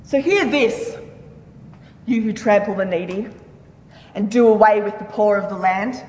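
A woman's voice: mid-range voice, measured pace, darkish tone, slight background noise. A woman giving a solemn, declamatory dramatic reading of scripture with emphatic delivery. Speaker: reader in a church dramatic reading. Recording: echoey hall with long reverb.

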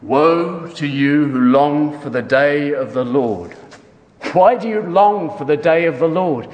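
A man performing a dramatic scripture reading as a stern, wrathful God speaking through a prophet, solemn, declamatory and emphatic. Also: fairly high pitch. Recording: echoey hall with long reverb.

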